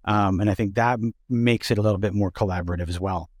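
A man's voice: on the low side, steady tone, fast talker, darkish tone, no room sound, studio quality. A man interviewing in a casual conversational way, thoughtful and a bit hesitant as he forms his points. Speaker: interviewer and fellow theatre director.

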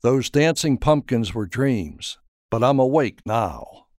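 Man reading as sensible older granny in an audio story, earnest, bit stubborn, dry sound, expressive. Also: medium pitch, slow, no echo. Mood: resolute. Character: sensible older grandmother, quietly stubborn wife.

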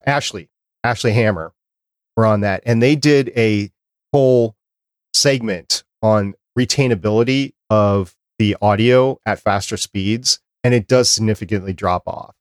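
A man's voice: on the low side, measured pace, no room sound. A man hosting a podcast, chatting casually as he interviews a guest.

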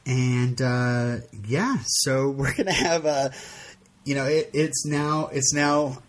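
A man hosting a podcast in casual chat, rambling and halting with many fillers and frequent laughs. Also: medium pitch. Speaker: podcast host.

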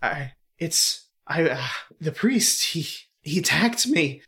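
A man's voice: a little high, sing-song, a bit bright, full character voice. A man playing a terrified stammering young man. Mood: frightened, stammering. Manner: stammering, halting, fearful.

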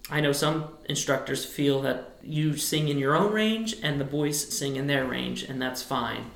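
A man's voice: lively intonation, unhurried, vocal fry, a bit bright. Choir director, male tenor, talks in loose rambling answers, roomy sound. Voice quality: high tenor speaking voice. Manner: thoughtful, rambling with restarts. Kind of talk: relaxed interview answers.

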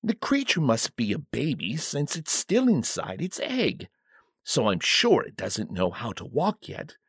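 A man's voice: mid-range voice, wildly swooping pitch, a little slow, breathy edge, no echo, studio quality, exaggerated voice. A man voicing a flustered, petulant and curious king in a storybook, with a fussy, pleading manner.

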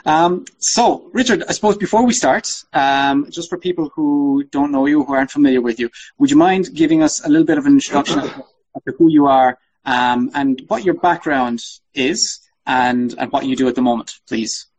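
Husky male podcast interviewer. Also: on the high side, normal speed, a little mellow, low-quality recording. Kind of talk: casual, polite interview.

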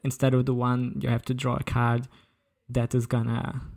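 A man's voice: mid-range voice, monotone, moderate pace, a little mellow. A man chatting casually as a podcast panelist, explaining things in a loose, informal way. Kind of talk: casual chat.

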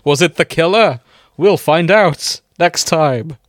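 A man's voice: on the high side, slow, no room sound. Man chatting casually, dry close sound. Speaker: podcast host. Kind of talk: casual banter with friends.

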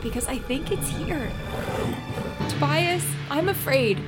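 Woman playing a scared pious young bride, really high voice, slowish, pleading, radio drama. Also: expressive, music in the background. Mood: frightened. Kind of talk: audio drama acting.

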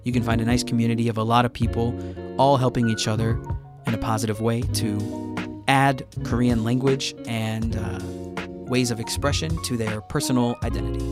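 A man hosting a casual podcast, talking in a relaxed, chatty way and laughing often. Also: mid-range voice. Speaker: podcast host.

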